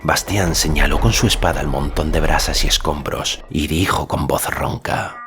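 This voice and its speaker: Very deep-voiced man narrating. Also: moderate pace. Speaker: audiobook narrator. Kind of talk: dramatic scripted fantasy narration. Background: music.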